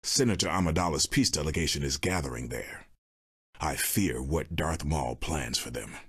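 An actor playing a stern, solemn Jedi master in a video game, speaking in a measured, formal and commanding way with a husky voice. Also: male voice, rumbling low. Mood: grave, solemn. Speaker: video game mission giver. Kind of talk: scripted game character dialogue.

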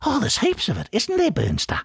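A man voicing a whining, chummy little man in a storybook, with a falsetto twang mixing Essex and Australian, straining higher toward sentence ends. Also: huge pitch swings, brisk, creaky, slightly rough, no echo, studio quality, exaggerated voice. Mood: apologetic, whining. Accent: mix of Essex and Australian. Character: whining, annoyingly cheerful little man, a younger brother.

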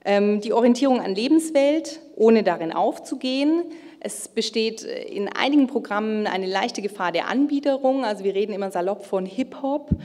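A middle-aged woman gives a formal keynote lecture with measured, emphatic phrasing. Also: a little high, lively intonation, mellow. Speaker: keynote speaker. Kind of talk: formal conference lecture, partly read from notes. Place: large indoor auditorium stage at a lectern. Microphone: gooseneck lectern microphone. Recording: reverberant hall sound.

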